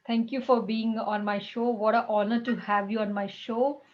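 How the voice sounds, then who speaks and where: Chatty woman host with halting delivery. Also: on the high side, little pitch movement, muffled recording. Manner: warm, halting with many fillers. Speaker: chat show host. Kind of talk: casual interview chat.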